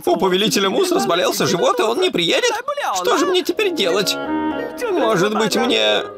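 A man voicing a nervous, flustered dragon-deer in an animated cartoon, speaking in a halting, showy manner. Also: high voice, vocal fry, over loud music, full character voice. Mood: anxious, flustered. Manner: halting, anxious, swooping into announcements.

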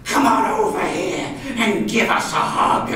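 A man voicing a brisk, sweetly bossy mother with a monstrous side in a storybook reading. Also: sits high, pushed and bright, pitched above the performer's own voice. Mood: sinister, bossy. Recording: roomy, noisy hall, distant mic.

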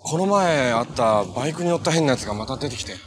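A man's voice: medium pitch, expressive, fast, natural voice. A man acting as a kind, easygoing young hero in natural television drama dialogue. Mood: serious, thoughtful. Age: young adult. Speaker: actor in a television superhero drama. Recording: noisy TV clip audio with ambience.

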